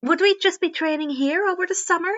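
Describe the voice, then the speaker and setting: A woman reads as a cheerful teenage boy in an audio story. His voice is high, friendly and polite. The recording is slightly dull. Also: brisk, a bit bright.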